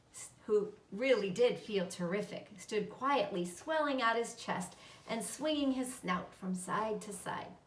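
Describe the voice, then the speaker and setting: A woman reading a children's story aloud as narrator. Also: on the high side. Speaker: storybook reader. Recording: roomy echo, slightly distant mic.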